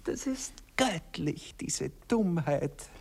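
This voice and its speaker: A man's voice: on the high side, very animated, slow, gravelly, darkish tone, slight background noise, full character voice. A man playing a vain, patronizing poet in a radio play, cooing theatrically and condescendingly. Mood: dreamy. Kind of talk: German radio play acting.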